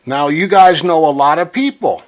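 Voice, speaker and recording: A man making a phone call to pitch his ideas, speaking earnestly and insistently as if reciting slogans. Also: normal speed. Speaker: caller.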